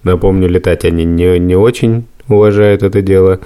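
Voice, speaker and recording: A man with a fairly low, soft-edged and mellow voice hosts a casual podcast. He talks reflectively and lays out his thoughts at length. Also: steady tone, moderate pace, clear, resonant tone. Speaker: podcast host.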